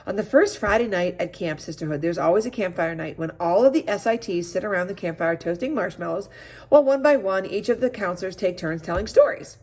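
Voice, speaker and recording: A woman's voice: lowish voice, animated, a little fast, a little mellow. Woman as a proud, explaining camp director. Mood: explanatory.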